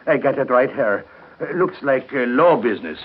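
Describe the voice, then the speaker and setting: An actor playing a simple, deferential Scandinavian workingman in halting broken English, in a 1930s radio soap opera. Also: medium pitch, lively intonation, a little hiss or hum, close to an everyday voice. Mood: hesitant, deferential. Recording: muffled narrow-band 1930s radio broadcast.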